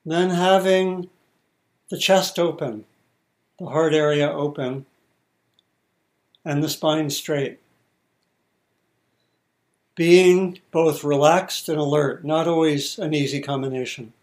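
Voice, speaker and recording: A man leads a guided meditation as a teacher. His voice sits a little higher than most men's. He speaks very slowly, in short measured phrases, leaving pauses between instructions. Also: fairly even intonation.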